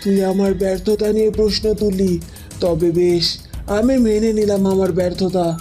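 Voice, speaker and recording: Female actor for villainous fairy-tale sorceress: middle register, deliberate, commanding, menacing. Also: medium pitch, fairly even intonation, mellow, soft background music, natural voice. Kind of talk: Bengali cartoon fairy-tale voice acting.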